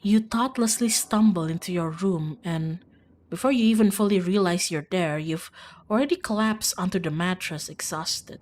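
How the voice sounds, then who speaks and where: Woman as hushed, ominous horror-game narrator. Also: on the low side, normal speed, slight background noise, natural voice. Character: ominous, hushed second-person storyteller of a horror visual novel. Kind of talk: scripted narration.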